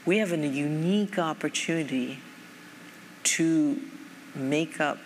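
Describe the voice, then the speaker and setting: A middle-aged woman from Japan, head of a foundation, talking thoughtfully onstage with many fillers. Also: sits low. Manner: thoughtful, measured, frequent fillers. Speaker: foundation president. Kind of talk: onstage conversation. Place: large conference stage. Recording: reverberant hall, faint noise.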